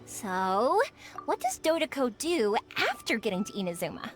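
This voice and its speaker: A woman playing a friendly, upbeat young woman shopkeeper in an English video game, bubbly and expressive. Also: on the high side, normal speed, music in the background. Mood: pondering.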